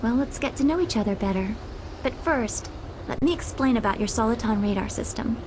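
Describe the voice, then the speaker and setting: A perky young female specialist played by a woman talks in a fairly high, girlish voice. She is polite and playful in a video game style, over clear background noise. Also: expressive, a little fast, natural voice. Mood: helpful. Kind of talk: dramatic video game voice acting.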